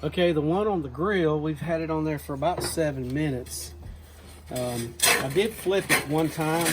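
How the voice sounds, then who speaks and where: A man's voice: on the high side, moderate pace, background noise. A man, hosting a home cooking show and walking viewers through making pizza in a folksy, instructive way.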